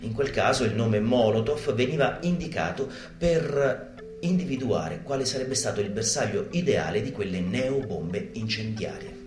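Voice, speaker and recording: Theatrical man with swooping, lively pitch. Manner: emphatic, dramatic delivery with deliberate pauses and sudden drops to a hushed tone. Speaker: stage performer. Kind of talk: theatrical live monologue, mock instructional lecture. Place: indoor theater stage. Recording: roomy live theater sound, music behind. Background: live guitar and bass music.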